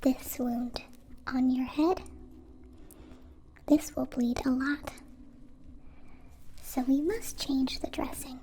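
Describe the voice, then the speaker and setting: A woman voicing a gentle female alien in an ASMR roleplay, whispering softly and breathily with stilted, formal, precise phrasing. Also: high-pitched, steady tone, takes it slowly, long pauses, slight background noise. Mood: calm, caring. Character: gentle captive-born female alien caring for a wounded human.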